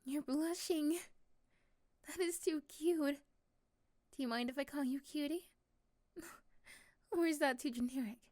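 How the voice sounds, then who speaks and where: A woman playing a tired, sweet, teasing young woman in love in a soft ASMR romantic roleplay, at times whispering breathily. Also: high-pitched, a little slow, pauses often. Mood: playful, affectionate.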